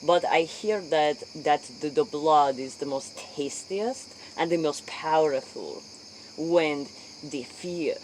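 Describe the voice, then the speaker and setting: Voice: medium pitch, slow, a little mellow. Inquisitive interviewer in broken English, noisy night recording. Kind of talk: role-play spoof late-night interview.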